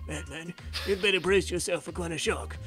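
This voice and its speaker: Casting: man as resolute commissioner, formal, dramatic, fairly high voice, comic dub. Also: a little fast, fairly bright tone, faint music behind. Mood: grave. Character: grave, resolute male police commissioner. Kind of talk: comic dub character acting.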